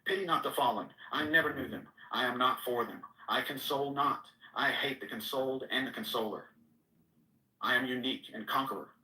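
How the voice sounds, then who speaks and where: A man's voice: mid-range voice, a little fast, sharp tone. A man giving a solemn, declamatory reading of archaic mystical scripture. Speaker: reader. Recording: echoey room, distant mic.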